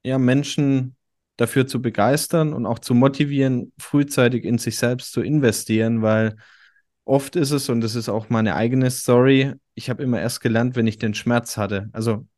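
A man's voice: medium pitch, a little mellow, dry recording, clean studio sound. A man hosting a podcast, leading a casual interview in an enthusiastic, conversational way. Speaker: podcast host.

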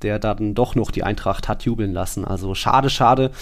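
A man's voice: on the low side, moderate pace. A man co-hosting a football podcast, recapping matches in a conversational, colloquial way. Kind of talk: casual football recap chat.

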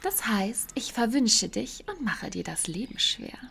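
A woman's voice: a little high, very animated, measured pace, vocal fry, a bit bright, a little hiss or hum, heavily acted. A woman playing a sly, self-assured young forest witch in a fairy-tale audio play, with a teasing, mocking manner. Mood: gleefully spiteful.

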